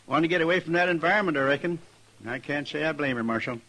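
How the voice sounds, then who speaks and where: A woman's voice: deep voice, quick pace, fairly bright tone, low-quality recording. A woman playing an unbothered frontier rooming-house keeper with a folksy rural Western American drawl in an old radio drama. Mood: offhand. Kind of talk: 1950s radio western acting.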